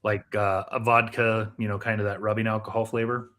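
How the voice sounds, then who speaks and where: A man's voice: lowish voice, level tone, a little fast, a bit bright. Casual male co-host chatting. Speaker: co-host of a chat show. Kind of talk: casual chat, snack and drink tasting.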